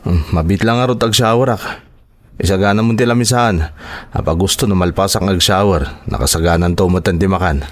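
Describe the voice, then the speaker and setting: An actor with a Filipino Ilocano accent playing a cold, dismissive young husband in an audio drama. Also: male voice, low voice, a little fast, close to an everyday voice. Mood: curt. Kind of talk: radio-style drama acting.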